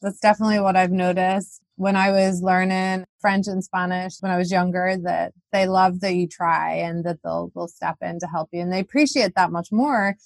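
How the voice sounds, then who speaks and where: A woman's voice: on the low side, fairly even intonation, moderate pace, creaky, few pauses, dry recording. A woman from Northern Ireland with a Northern Irish accent, hosting a podcast interview in a friendly, appreciative way. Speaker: podcast host. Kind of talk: interview questions and sign-off.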